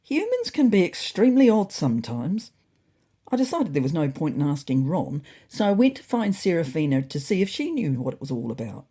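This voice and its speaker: A woman's voice: sits low, very animated, a little fast, mellow, breathy edge, full character voice. A woman voicing a self-important, naively confident male orange cat reading out his diary in a scripted story. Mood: puzzled.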